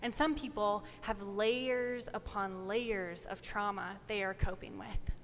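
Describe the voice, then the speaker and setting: A woman preacher delivering a measured, prepared sermon in church. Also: medium pitch, fairly even intonation, a bit bright. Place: large church indoors. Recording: reverberant church, audible noise.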